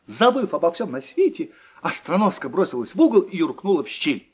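A man narrating a folk tale in a husky, expressive storytelling manner. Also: on the high side, fairly quick.

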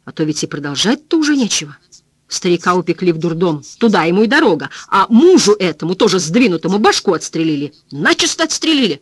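A reader voicing a loud, coarse woman cleaner. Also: mid-range voice, very animated, fast talker, slightly rough, no echo, clean studio sound. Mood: indignant. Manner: crude, exclamatory, folksy speech.

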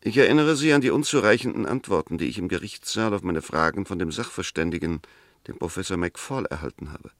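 A defence lawyer in an old German radio play. His voice is fairly low and closely recorded with no room sound. He argues in measured, rhetorical sentences, probing and sceptical. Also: animated, dry recording, studio quality. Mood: persuasive. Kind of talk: 1960s German radio drama acting, courtroom-style argument.

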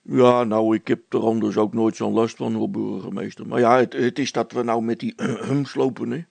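A man's voice: lowish voice, steady tone, moderate pace, creaky, a little mellow, no room sound. A man voicing a simple, nervous, agreeable village policeman in a storybook, with a hesitant, plaintive, colloquial way of talking.

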